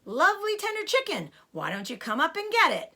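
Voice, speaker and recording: A woman voicing a shouting, taunting male farmer from a children's storybook. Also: extremely high pitch, huge pitch swings, a little fast, voice pushed up, full character voice. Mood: loud taunting.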